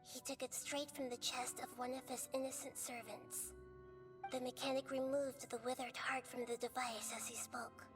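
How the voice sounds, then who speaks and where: A woman voicing a gentle, wise young deity in a video game, speaking softly with measured, earnest storytelling. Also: high-pitched, in a large hall, close to an everyday voice.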